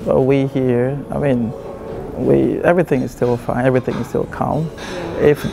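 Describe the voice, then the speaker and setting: A man interviewed in the street, starting hesitantly and then speaking in a measured way. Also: medium pitch, steady tone, creaky, rough recording. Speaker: interviewee, student in Russia.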